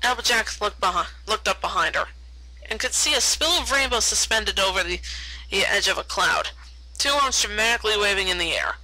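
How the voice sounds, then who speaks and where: Very bright-voiced woman reading a story. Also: medium pitch, lively intonation, fairly quick, no echo, low-quality recording. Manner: stumbles over words and repeats them. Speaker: fan fiction reader. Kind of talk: read-aloud story narration.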